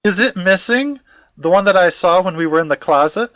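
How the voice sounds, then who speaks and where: A man's voice: sits high, animated, fairly quick, a bit bright, dry recording, muffled recording. A man playing a formal, fussy academic in an audio drama, precise and wordy, with old-fashioned politeness. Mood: formal, measured. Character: formal, fussy, courteous male academic investigator.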